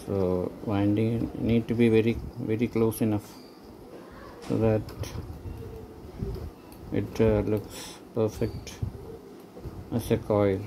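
Slow, halting man with a gravelly voice. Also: on the low side, flat delivery. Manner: halting, hesitant, trailing phrases. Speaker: hobbyist presenter. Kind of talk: unscripted how-to narration while working. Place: indoors at a tabletop. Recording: noticeable background noise.

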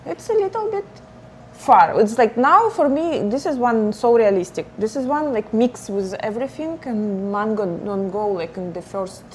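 A young woman co-hosting a perfume review, casual and halting, searching for her words in a bright studio. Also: fairly high pitch, lively intonation, slight background noise. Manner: halting, searching for words, non-native phrasing. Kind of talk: casual chat. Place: bright indoor studio with flower wall.

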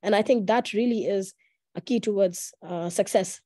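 Young woman on an online panel, soft mellow tone, talking earnestly about her work. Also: medium pitch, normal speed. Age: young adult. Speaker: youth climate advocate, panel speaker. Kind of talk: earnest panel remarks on a video call. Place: online video call.

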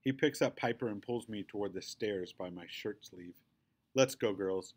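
A man reading a children's book aloud as an expressive narrator. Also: fairly low pitch, moderate pace, breathy edge.

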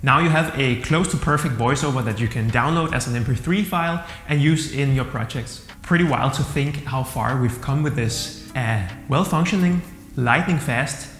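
A man in his thirties with a Danish accent reviewing software to camera in an upbeat, plain-spoken way. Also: medium pitch, normal speed. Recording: roomy hall-like echo with soft music.

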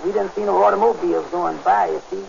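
A high-voiced, gravelly, anxious working fellow. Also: male voice, fast, a little mellow. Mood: polite, hesitant. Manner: folksy, ungrammatical, hesitant and deferential. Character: polite, anxious, humble working man lost on a drive. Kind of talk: 1930s radio comedy acting. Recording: muffled, hissy 1930s radio recording.

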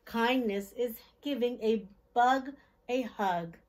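Slow-paced woman reading to young children. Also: on the high side, a little mellow. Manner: deliberate, simple child-directed phrasing with questions. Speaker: children's storytime host and reader. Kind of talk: picture-book read-aloud for young children. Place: small decorated indoor room.

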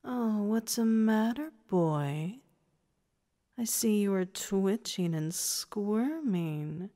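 A woman's voice: medium pitch, lively intonation, very slow, mellow. A woman playing a domineering, condescending woman who commands and taunts in an audio story. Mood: taunting. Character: domineering, condescending woman running a training program. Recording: reverberant hall-like echo.

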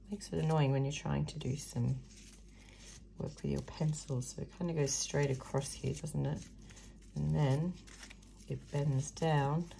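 Woman, hoarse croaky voice, dark mellow tone, chatty craft tutorial. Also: low voice, slight background noise, rough recording. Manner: chatty, muttering asides while working. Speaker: craft video host. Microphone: overhead camera above a table, hands only.

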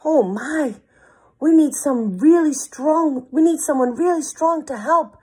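A woman voicing a small, worried, excitable pig in a children's storybook, exclaiming urgently. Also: high-pitched, measured pace, voice pushed up.

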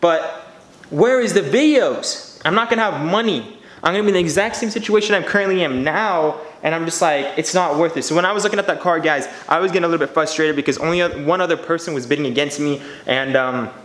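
Young dude vlogging from his garage, kinda high voice, talks earnest w lots of bro slang, room echoes a bunch. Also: a bit bright. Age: 20s. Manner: earnest and fast-talking, with "you guys" and "bro" slang. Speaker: car channel vlogger. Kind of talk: casual vlog talk to camera. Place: home garage, indoors. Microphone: camera mic.

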